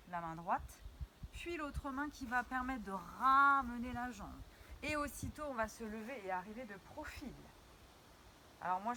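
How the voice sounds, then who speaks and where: A woman's voice: fairly high pitch, bright, forceful tone, a little hiss or hum. A woman teaching a dance routine step by step, counting beats and humming the rhythm as she moves. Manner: demonstrates while talking, counting beats and sung syllables.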